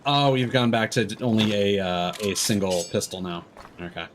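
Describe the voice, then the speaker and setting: A man giving casual livestream game commentary, musing in a halting way and often trailing off mid-thought. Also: fairly low pitch, slight background noise. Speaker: game streamer. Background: game music.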